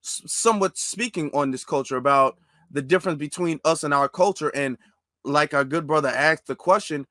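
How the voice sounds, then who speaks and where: A man's voice: fairly high pitch, moderate pace, sharp tone, dry recording. A man talks things through with his audience in an emphatic, conversational way, often restarting his sentences. The recording is dry and close, with almost no room sound. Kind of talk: casual discussion.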